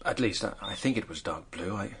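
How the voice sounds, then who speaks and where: Rueful British drinker, very animated intonation. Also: male voice, fairly low pitch, a little fast, breathy edge, heavily acted. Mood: hesitant. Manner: wry, hesitant, rueful. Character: hard-up, self-mocking male drinker under questioning. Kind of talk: British radio drama acting.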